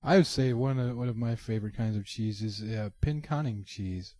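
A man in his twenties from Michigan, a band's bassist, giving brief, laid-back answers in an interview. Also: moderate pace, warm tone, dry recording, studio quality. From Michigan, USA. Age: 20s. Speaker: band bassist, guest. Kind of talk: casual interview.

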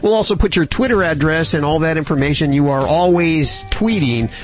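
A man hosting a radio show, upbeat and smooth as he plugs the website and signs off. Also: a little high, fairly quick, faint music behind. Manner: upbeat, promotional, smooth sign-off patter.

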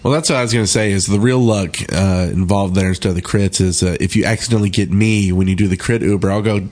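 A man with a fairly low voice takes part in a gaming podcast as a panelist. His delivery is casual and conversational, like chatting about play with other gamers. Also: fairly even intonation, quick pace, darkish tone.

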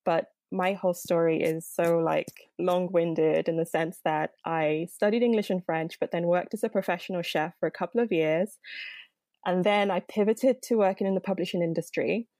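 A woman's voice: on the low side, fairly even intonation, normal speed, a little mellow, no room sound. A young woman from Nigeria, a podcast guest, telling her story in a chatty way with many fillers. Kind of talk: interview conversation.

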